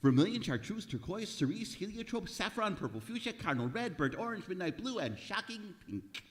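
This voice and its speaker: Guy doing a frazzled old radio comedy shop clerk, highish voice, mutters and stammers, really animated. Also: brisk. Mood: nervously obliging. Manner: stammering, fretful, muttering self-pitying asides. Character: nervous, exasperated male department store clerk, muttering to himself. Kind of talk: old-time radio comedy stage acting. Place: live performance in a museum hall. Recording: reverberant hall, live stage recording.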